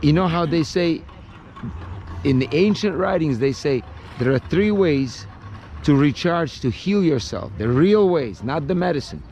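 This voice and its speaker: A man's voice: fairly high pitch, animated, a little mellow, a little hiss or hum. A man with a Russian accent touched by Brooklyn, chatting casually outdoors on the water, thoughtful, with frequent restarts. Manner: chatty, restarts often, speaks slowly and thoughtfully. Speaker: vlogger on a paddleboard. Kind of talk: casual chat.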